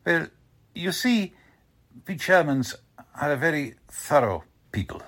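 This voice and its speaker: Man acting a slow, husky, menacing Nazi agent. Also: on the high side, lively intonation, fairly bright tone. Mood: sly, taunting. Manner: deliberate, suave, veiled threats. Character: cold, courteous Nazi German agent, menacing male kidnapper. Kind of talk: old-time radio drama acting.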